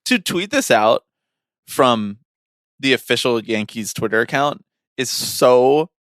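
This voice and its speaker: A man's voice: mid-range voice, lively intonation, slow pace, a bit bright, no room sound. Wry man in a dry, close recording. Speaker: podcast co-host. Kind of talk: casual podcast banter.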